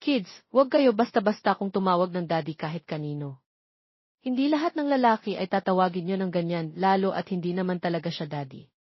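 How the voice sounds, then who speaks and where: A woman's voice: fairly low pitch, animated, fairly quick, no echo, highs cut off. A woman voicing a worried, stern young mother of twins in an audio story, firm and anxious as she scolds.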